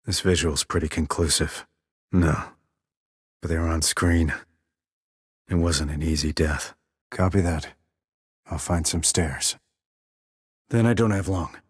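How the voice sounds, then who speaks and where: Deep, husky military sniper. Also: male voice, unhurried, long pauses, dry recording, clean studio sound. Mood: focused, controlled. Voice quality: low, husky. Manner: clipped, controlled, businesslike. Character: terse, cool-headed male sniper operative, hardened mercenary. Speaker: video game voice actor. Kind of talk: military radio chatter, game dialogue.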